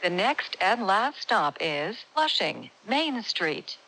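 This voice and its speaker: A woman's voice: medium pitch, big pitch swings, slow, pushed and bright, slight background noise, rough recording. A woman reading scripted train announcements as a transit announcer.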